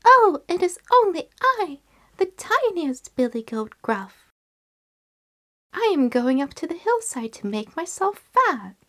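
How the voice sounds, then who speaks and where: A tiny young billy goat for a fairy tale. The voice is small and very high, swooping wildly up and down as it timidly pleads. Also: female voice, big pitch swings, takes it slowly, fairly bright tone, full character voice. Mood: innocent, timid.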